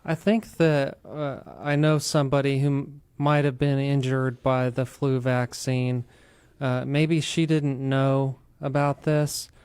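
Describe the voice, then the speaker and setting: Clear-voiced male radio host, dry sound. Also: medium pitch, little pitch movement, slow, darkish tone, no room sound. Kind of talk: interviewing, asking and summing up for listeners. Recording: dry studio sound with music underneath.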